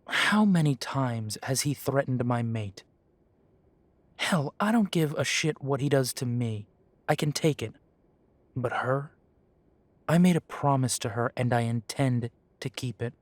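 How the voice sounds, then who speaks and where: A man's voice: medium pitch, unhurried, dry recording, lightly acted. A man playing a guarded, self-doubting young half-breed werewolf in an audio drama, blunt and defensive. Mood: protective, defiant. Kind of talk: scripted roleplay audio drama.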